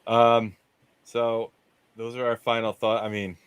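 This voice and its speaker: A man's voice: medium pitch, flat delivery, measured pace, clear, resonant tone, no room sound. A man hosting a casual live movie discussion, talking in an informal, rambling, conversational way. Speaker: show host.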